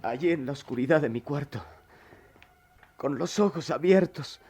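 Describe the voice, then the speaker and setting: A man's voice: a little high, normal speed, a little mellow, slight background noise, natural voice. A man acting a remorseful young student and devoted son in a radio drama, heartfelt and dramatic. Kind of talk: radio drama acting and first-person narration.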